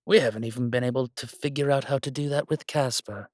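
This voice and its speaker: A man playing a blunt, protective male spirit in an audio drama, with a husky voice and a matter-of-fact delivery. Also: medium pitch, steady tone, fast talker, a little mellow, no room sound, studio quality, close to an everyday voice. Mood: grave warning. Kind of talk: audio roleplay acting.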